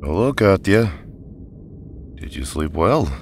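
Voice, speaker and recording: Brief: male actor as a gentle, deep-voiced young man, formal and unhurried, audio drama. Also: very animated, vocal fry, background noise, heavily acted. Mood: hurt. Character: gentle, earnest young man, hurt by a friend's coldness.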